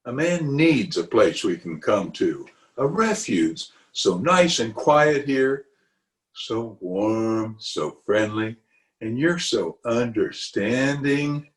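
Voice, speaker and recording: A pompous, flirtatious middle-aged town official from a 1940s-style radio comedy, acted by a man. The delivery is theatrical, unhurried and full of swooping pitch. It comes through a roomy, echoing video-call recording. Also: lively intonation. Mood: coaxing, romantic. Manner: blustering, theatrical, wheedling.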